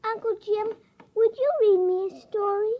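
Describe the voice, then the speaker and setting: A woman's voice: very high voice, fairly even intonation, normal speed. A woman playing a precocious, blunt three-year-old girl in a 1950s radio drama, childlike and insistent. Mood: meek. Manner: childlike, matter-of-fact, insistent. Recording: muffled vintage radio recording.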